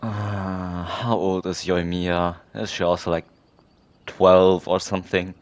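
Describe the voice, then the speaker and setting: Low-voiced sarcastic man commenting on gameplay. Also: little pitch movement, takes it slowly, highs cut off, a little hiss or hum. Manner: sarcastic, incredulous. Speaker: gamer, playthrough commentator. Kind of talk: casual, off-the-cuff game commentary. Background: game music.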